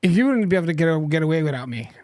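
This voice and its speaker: A man's voice: a little high, sing-song, rapid-fire, darkish tone, no echo, studio quality. A man in his fifties co-hosting a casual podcast, joking and teasing his co-host in rambling banter.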